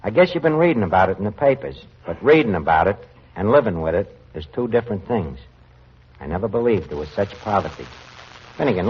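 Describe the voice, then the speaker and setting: An actor playing an earnest working-class tavern manager with streetwise, ungrammatical talk in a 1940s radio show. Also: male voice, lowish voice, breathy edge. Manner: colloquial, streetwise, uneducated grammar. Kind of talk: 1940s radio comedy-drama acting. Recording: muffled vintage radio recording.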